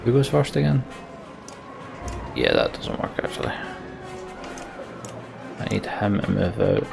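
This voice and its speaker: A man's voice: lowish voice, big pitch swings, measured pace, creaky. A man commentating casually on a video game as he plays, thinking aloud and reading story text out. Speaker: let's play video host. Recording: game music behind the voice. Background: video game music.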